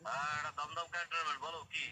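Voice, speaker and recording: A man answers a telephone call. His voice is fairly high and he speaks fast. The line is clearly noisy, with clicks through it. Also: flat delivery. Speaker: prank call recipient. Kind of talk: phone conversation.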